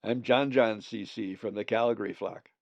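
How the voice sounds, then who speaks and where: A man's voice: fairly low pitch, steady tone, normal speed, gravelly, dry recording, highs cut off. A man voicing a laid-back male raven with loose hippie slang.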